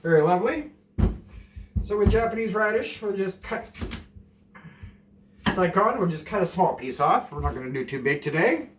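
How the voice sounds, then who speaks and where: A man in his forties rambles cheerfully while he cooks, poking fun at himself and admitting camera nerves. His voice sits a bit high. The sound is roomy and picked up from across a small home kitchen, with a faint hiss behind. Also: animated, moderate pace, pauses often. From Canada. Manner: rambling, self-deprecating, jokey asides. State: nervous on camera. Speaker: home cooking vlogger. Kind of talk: casual cooking chat. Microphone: camera a few steps away. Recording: roomy, distant camera mic.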